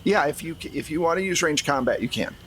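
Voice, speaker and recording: A man's voice: a little high, lively intonation, quick pace, a bit bright. A man narrating an improvised tabletop game as game master, with a playful, teasing way of talking. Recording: faint background noise.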